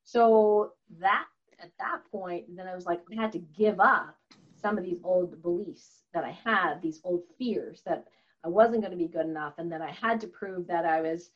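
A woman's voice: on the low side, normal speed. A woman whose first language is Chinese, speaking as an interview guest in an earnest, chatty way with frequent laughs and fillers. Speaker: guest, holistic doctor and author. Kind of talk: conversational interview answer. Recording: slightly dull, lo-fi audio.

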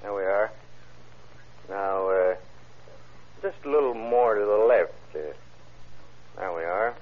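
A man's voice: fairly low pitch, slow. An actor playing a cautious, exacting police ballistics man who speaks carefully and commits to nothing, in old radio drama style. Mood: absorbed. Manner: careful, noncommittal. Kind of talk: 1940s-50s radio crime drama acting. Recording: dull vintage radio recording.

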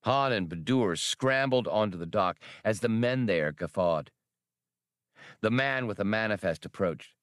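Male narrator, dramatic audiobook reading, unhurried pace, animated intonation, dry studio sound. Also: lowish voice, no room sound. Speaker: audiobook reader. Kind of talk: scripted story narration, dramatic.

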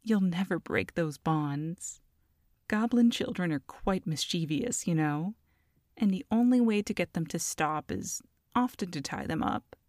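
Woman acting as goblin clan matriarch, ASMR roleplay, smug and teasing, unhurried pace, lowish female pitch, very dry close sound. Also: lively intonation, a little mellow, no echo. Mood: gloating. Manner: teasing, smug, drawn-out villainous taunting. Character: small, taunting, flirty goblin matriarch, mother of a clan.

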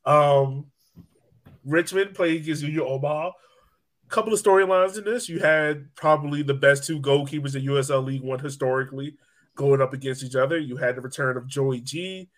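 A man's voice: medium pitch, steady tone, a little fast. A man hosting a podcast, chatting casually about sports. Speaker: podcast host.

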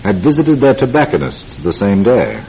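An actor playing a stern, commanding senior police officer with a clipped, formal manner in a radio drama. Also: male voice, on the low side, very animated, moderate pace, mellow. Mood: explanatory. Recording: muffled, noisy radio recording.

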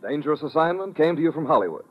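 American male announcer, 1950s scripted radio style, lively intonation, muffled broadcast sound. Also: fairly high pitch, rapid-fire. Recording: muffled old radio broadcast, orchestral music.

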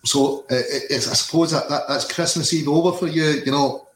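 A man's voice: fairly high pitch, steady tone, fairly quick. A Scottish man co-hosting a casual football podcast over a video call. He rambles and repeats himself, with lots of you-knows. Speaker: podcast co-host. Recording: echoey room with faint ambience.

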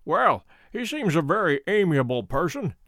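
A man voicing a quiet, unflappable detective with a bland, wry manner. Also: fairly high pitch, very animated, normal speed, no room sound, clean studio sound. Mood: calm. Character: calm, amused male detective, unflappable.

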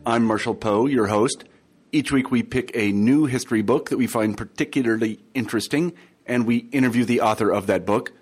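Guy hosting a book podcast, average pitch, talks with lots of uhs and restarts. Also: a little fast, breathy edge. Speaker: podcast host. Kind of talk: scripted intro then interview.

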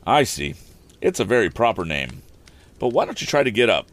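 A man voicing an eager young inventor, brisk and helpful. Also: lowish voice, sing-song, pitched above the performer's own voice.

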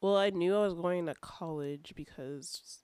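A woman's voice: lowish voice, unhurried, darkish tone, clean tone, no room sound. Young woman from California, podcast co-host, casual storyteller, close-miked. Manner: laid-back storytelling, laughs between phrases. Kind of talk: casual chat.

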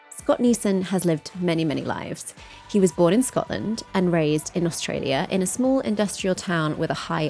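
A woman's voice: lowish voice, a little mellow. A young woman hosting a travel podcast interview in an upbeat manner, laughing often. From United Kingdom. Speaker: podcast host.

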